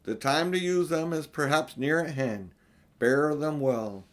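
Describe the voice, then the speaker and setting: A man playing a big, solemn, kindly old Father Christmas, speaking in measured, emphatic pronouncements for a storybook. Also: on the high side, lightly acted. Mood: grave.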